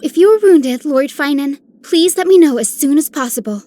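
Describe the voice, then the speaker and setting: A woman playing a kind, caring young healer in a video game, with a gentle, polite manner. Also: high-pitched, lively intonation, normal speed. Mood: gentle concern. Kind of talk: scripted game character voicelines.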